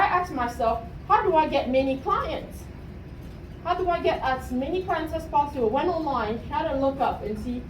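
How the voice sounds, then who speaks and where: A woman from Cameroon giving a motivational talk to students as a coach, checking in with questions as she goes. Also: sits high. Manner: asks the audience questions, often adds "right?". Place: room at a university talk. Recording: roomy, distant mic, faint noise.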